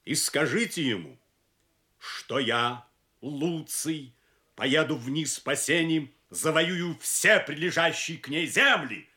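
A boastful emperor of Rome, full of mockery. The voice is forceful and bright, slightly high, with the pompous delivery of an audio drama. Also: male voice, slow. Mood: cold, boastful. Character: arrogant, mocking Roman emperor. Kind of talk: Soviet audio drama acting.

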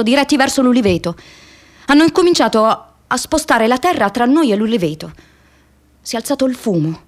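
A woman's voice: a little high, natural voice. An actress playing an idealistic young American woman activist in a radio theatre monologue, telling her diary expressively in the first person. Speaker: stage actress.

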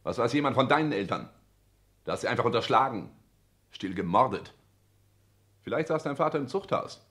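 An actor playing a cold, ambitious social climber in a 1960s German radio play, speaking in a clipped, pompous, formal and declamatory way. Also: male voice, mid-range voice, lively intonation, fairly quick, a bit bright, natural voice. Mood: haughty, insistent.

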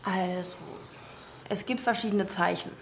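An actress playing a self-assured, sharp-tongued young woman in her twenties in a radio drama, speaking articulately. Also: medium pitch, a little slow, noisy background, lightly acted. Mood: patient, instructive. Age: 20s. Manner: argumentative, sardonic, articulate.

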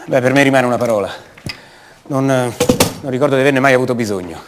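An actor playing an ordinary, self-doubting man back home, speaking a halting, conversational monologue in a radio drama. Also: mid-range voice, noisy background, lightly acted. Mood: wry, musing. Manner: halting, conversational, rhetorical questions to the listener.

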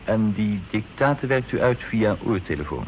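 An actor playing an inquisitive, needling army major in a radio drama, probing and insinuating, on a dull, noisy old recording. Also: male voice, on the low side, lively intonation, normal speed, lightly acted.

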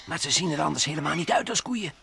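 A man's voice: a little high, expressive, fast talker, vocal fry, crowd noise. Een man die in een ouderwets hoorspel voor kinderen een besliste, nieuwsgierige en plagerige ruimtereiziger speelt. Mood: curious. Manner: decisive, mocking, wondering aloud. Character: bold, curious, teasing male space explorer. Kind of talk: old-fashioned children's radio play acting. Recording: old radio play recording.

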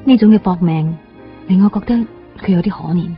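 An actress playing a gentle, caring young woman in a Cantonese radio drama, with a soft, reflective manner. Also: on the low side, brisk, lightly acted. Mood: reflective, pitying. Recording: dull band-limited radio broadcast, music behind.

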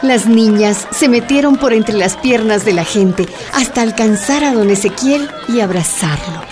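A woman's voice: a little high, lively intonation, unhurried, a crowd in the background. Woman narrator over music. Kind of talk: scripted radio drama narration.

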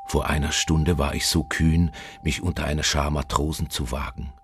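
An actor playing a haunted, doomed seafarer in a literary radio drama, speaking in a slow, brooding, solemn manner with a husky, gravelly voice. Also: male voice, little pitch movement, a bit bright, a little hiss or hum. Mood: eerie awe. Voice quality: very deep, gravelly, husky, at times near whisper. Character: haunted male seafarer recounting his doom aboard a ghost ship.

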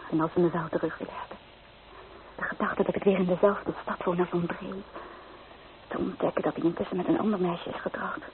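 A woman's voice: fairly low pitch, fairly even intonation, a little fast, background noise, close to an everyday voice. A young provincial woman, played by an actress in a radio play, homesick and bitter. She speaks in earnest, wistful, dramatic phrases. The recording is thin and narrow, like an old telephone line. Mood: anxious, regretful. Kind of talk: Dutch radio play acting.

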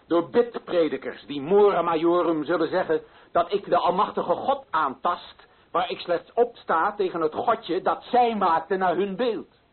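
An actor playing a proud, indignant male author who addresses readers in solemn, emphatic radio-drama declamation with a husky voice. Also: high voice, heavily acted. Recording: muffled, phone-like old radio recording.

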